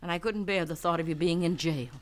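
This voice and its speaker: An actress playing a guilty, composed young widow in a 1970s radio drama, speaking softly and earnestly. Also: female voice, lowish voice, fast, close to an everyday voice.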